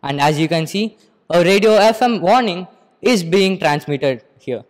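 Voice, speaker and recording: High-voiced Indian teenage boy, echoey room. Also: animated, unhurried. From Andhra Pradesh, India. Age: teens, about 15. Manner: formal, explanatory. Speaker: student presenter. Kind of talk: rehearsed school project presentation. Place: school computer lab, indoors. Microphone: handheld microphone. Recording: echoey room, long reverb.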